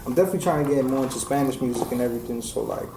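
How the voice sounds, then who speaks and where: A man in his forties from New Jersey, a guest telling stories in a relaxed, slangy way. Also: mid-range voice, racing, vocal fry. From New Jersey, USA. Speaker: guest, music engineer. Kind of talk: casual interview. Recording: echoey room with faint noise.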